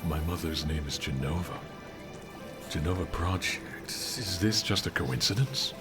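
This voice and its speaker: An actor playing a brooding superhuman warrior in a video game, speaking in a grave, measured, ominous way. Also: male voice, low voice, music in the background.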